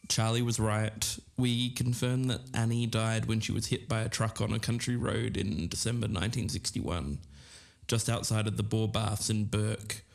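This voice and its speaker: An actor playing an earnest podcast investigator, narrating from a script in a measured, deliberate way. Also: male voice, fairly low pitch, fairly even intonation, gravelly. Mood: earnest, intrigued. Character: earnest male podcast investigator of a lost TV show. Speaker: podcast host. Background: music.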